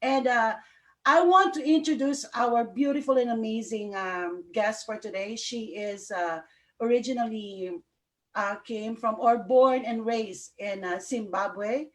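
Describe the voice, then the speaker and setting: Bubbly talk show host, a woman, slightly high voice, lots of fillers and laughter, on a video call. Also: measured pace. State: sleepy. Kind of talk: live online talk show.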